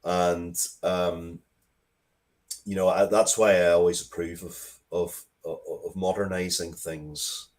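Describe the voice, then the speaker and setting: Casual male interviewee, low register, halting and reflective delivery. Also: flat delivery, a little mellow. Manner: halting, thoughtful, repeats words as he goes. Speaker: interview guest, writer of Dracula comics and media.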